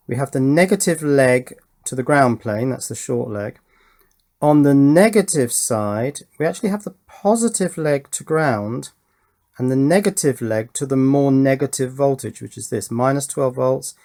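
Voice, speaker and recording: A man's voice: medium pitch, dark tone. A middle-aged man giving a casual, chatty running commentary on a hands-on electronics build, thinking aloud and often putting little questions to himself. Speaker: electronics hobbyist presenter.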